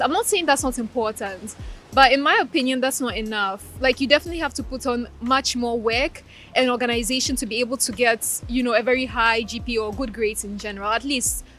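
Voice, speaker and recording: A woman in her twenties from Ukraine, a native Russian speaker, giving articulate and measured interview answers as a law graduate. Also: fairly high pitch, a bit bright. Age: 20s.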